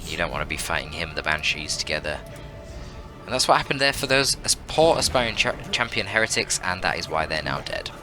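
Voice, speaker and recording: A man commentating on a strategy game match as a shoutcaster, explaining tactics analytically as he goes. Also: deep voice, very animated, a little fast, soft background music. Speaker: esports shoutcaster.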